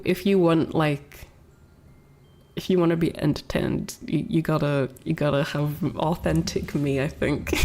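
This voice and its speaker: Young female streamer, congested from a cold, gravelly, rambling casual chat. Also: low-pitched, darkish tone. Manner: rambling, many fillers and restarts.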